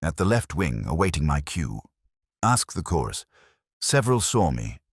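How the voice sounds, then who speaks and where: Casting: male narrator as a proud storybook opera star, indignant, florid delivery, deep voice with swooping intonation. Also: big pitch swings, normal speed, breathy edge, no room sound, studio quality, full character voice. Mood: indignant protest. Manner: declamatory, florid.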